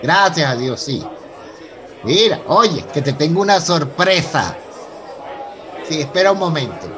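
A man's voice: a little high, big pitch swings, unhurried. A man playing a chatty, gossipy character with a Venezuelan accent in a radio soap opera. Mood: cheerful. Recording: busy crowd background.

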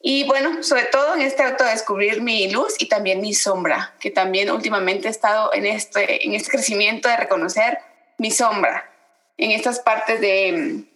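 A woman from Guatemala, introducing herself on a podcast in a reflective way, pausing often. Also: medium pitch, a bit bright, reverberant, low-quality recording. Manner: reflective, many pauses and fillers. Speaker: podcast guest. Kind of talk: casual self-introduction.

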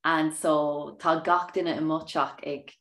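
A woman's voice: low-pitched, flat delivery, takes it slowly, a bit bright. A woman from Ireland hosting a podcast, steering the talk in a friendly way. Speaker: podcast host. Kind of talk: casual podcast chat.

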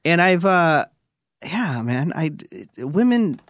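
A man's voice: on the high side, very animated, slow pace, a bit bright. A man in his thirties co-hosting a podcast, talking in a laid-back, casual way and saying "you know" often. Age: 30s. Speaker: podcast co-host. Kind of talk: casual advice chat.

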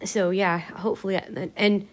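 A woman vlogging in a casual, rambling way, her voice a little raspy from allergies, blunt and free with swear words. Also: medium pitch, fairly even intonation, normal speed. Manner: chatty, blunt, swears freely. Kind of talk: casual rambling vlog chat.

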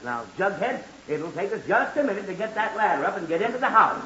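A man's voice: high-pitched, big pitch swings, fast talker, bright, forceful tone, exaggerated voice. An actor with an American accent playing a middle-aged, exasperated suburban father who sputters and sounds put-upon, in 1940s radio comedy style. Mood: impatient, instructing. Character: flustered, exasperated suburban father of a teenage son. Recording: muffled, hissy 1940s radio broadcast.

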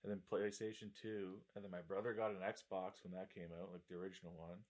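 A man's voice: deep voice, little pitch movement, fairly quick, a little mellow. A man in his mid-twenties chatting casually, joking and laughing often while telling stories. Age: 20s. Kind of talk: casual chat.

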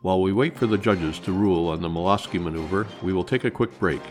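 A man's voice: low voice, fast, faint music behind. A man speaking as a sports broadcast commentator, handing over to a break. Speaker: sports commentator in a comedy sketch.

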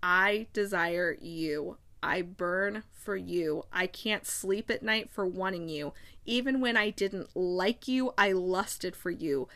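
A woman voicing an intense, exasperated gentleman lover from a romance novel, reading aloud in a deliberate, earnest, dramatic way. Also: fairly high pitch, big pitch swings, no echo, heavily acted. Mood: intense, passionate. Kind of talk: dramatic storybook read-aloud.

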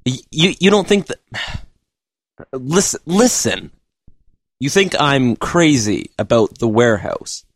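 A man's voice: medium pitch, sing-song, slow pace, long pauses, dry recording, exaggerated voice. A man playing a stammering, pleading man.

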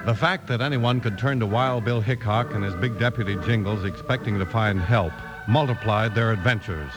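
A man's voice: lowish voice, normal speed, lo-fi sound. A man announcing and narrating in an emphatic, polished 1950s radio style. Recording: old-time radio recording. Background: music.

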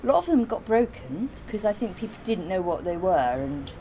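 A woman's voice: medium pitch, very animated, brisk, dark tone, slight background noise. A woman in informal conversation, explaining and recollecting in a rambling way. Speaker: artist being interviewed.

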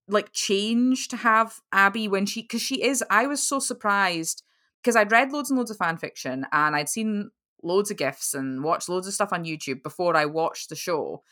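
A woman's voice: mid-range voice, moderate pace, bright, forceful tone. A young woman chatting casually as a podcast guest, rambling with lots of "like" fillers. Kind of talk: casual chat over a call.

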